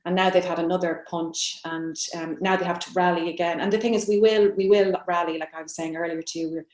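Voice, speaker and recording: A woman's voice: on the low side, steady tone, quick pace, darkish tone. A woman writer talking reflectively on a panel, thoughtful and hesitant, circling back over her phrases. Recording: roomy, echoing sound.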